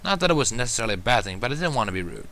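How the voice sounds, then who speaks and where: Male story reader, bright forceful tone, animated wry delivery of first-person narration. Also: mid-range voice, rapid-fire. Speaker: fan fiction reader.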